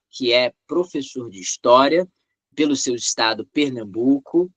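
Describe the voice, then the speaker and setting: A man's voice: on the high side, fairly bright tone, no echo. Formal male moderator, dry sound. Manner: measured, formal and deliberate. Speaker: moderator introducing the speaker. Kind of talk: formal introduction.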